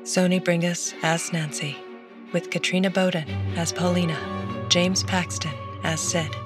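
A woman's voice: on the low side, flat delivery, unhurried, music in the background. A woman reading scripted credits as an announcer. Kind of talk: scripted narration.

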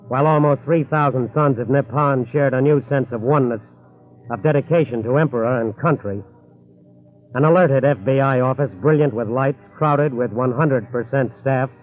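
A man's voice: medium pitch, close to an everyday voice. A man narrating a 1940s radio crime drama in a solemn, emphatic, dramatic style. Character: grave, dramatic male documentary crime narrator. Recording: tinny 1940s radio recording. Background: music.

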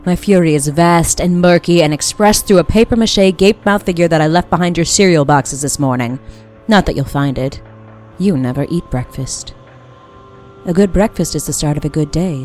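An eerie old woman, a faceless presence hiding in someone's home. Her voice is low and dark, intimate and deadpan as she scolds. Faint music runs underneath this audio drama monologue. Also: normal speed. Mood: quietly menacing. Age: older. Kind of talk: fiction podcast monologue.

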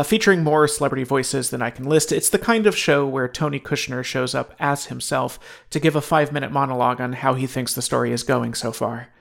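A man's voice: medium pitch, gravelly. A man hosting a podcast, giving casual recommendations in a conversational, personal way. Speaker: podcast host.

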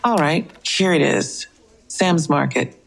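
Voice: medium pitch, expressive, takes it slowly, gravelly, background noise. An actor playing a matter-of-fact, knowing police investigator in an audio crime drama.

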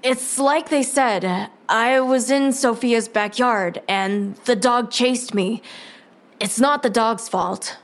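A woman's voice: on the high side, slow pace. A woman playing an apologetic, evasive twelve-year-old boy with a meek manner in an audio drama.

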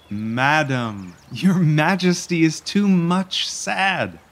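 A man playing a smooth royal courtier in a Shakespeare audio drama, consoling in ornate verse. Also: on the high side, extremely slow, a bit bright, exaggerated voice.

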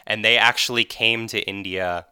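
A man's voice: on the low side, steady tone, normal speed, pushed and bright, smooth, no echo, studio quality. A man casually and enthusiastically describing food on a podcast, peppering his talk with "like.". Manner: enthusiastic, conversational, frequent "like". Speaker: podcast guest. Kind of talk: casual storytelling.

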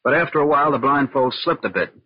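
Male, alert freed captive, earnest and precise, slightly rough voice, muffled vintage serial sound. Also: medium pitch, lively intonation, fast talker. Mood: earnest, recounting. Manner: earnest, careful recounting of details. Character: observant, cooperative male kidnap victim recounting his ordeal. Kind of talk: 1940s radio crime drama acting. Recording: dull, lo-fi old radio recording.

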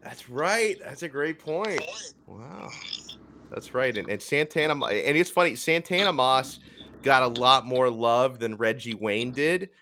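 A man in his thirties hosting a sports podcast in a casual, energetic chatty way. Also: medium pitch, unhurried, slight background noise. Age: 30s. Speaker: podcast host.

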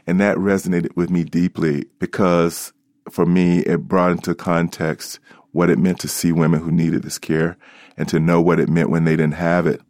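A middle-aged American man from Alabama reflecting in an interview as a doctor, speaking in a measured, articulate way. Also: sits low, level tone, a little mellow, dry recording, clean studio sound. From Alabama, United States.

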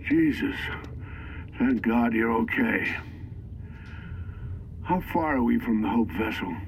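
A man's voice: mid-range voice, expressive, slow pace, slight background noise, rough recording. A man playing a wary, exasperated everyman on the run in an audio drama, informal and questioning. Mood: relieved, focused. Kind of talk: scripted audio drama acting.

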